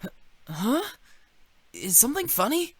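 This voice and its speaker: Boyish, sassy young male incubus, swooping pitch. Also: husky, no echo, full character voice. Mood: shy. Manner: teasing, quick to bristle, expressive. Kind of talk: visual novel game acting.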